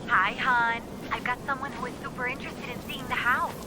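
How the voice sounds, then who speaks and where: A game's phone call with clear background noise carries a woman realtor. Her voice is fairly high, and she is familiar, persistent and persuasive. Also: steady tone, fairly quick. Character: pushy, familiar female real estate agent.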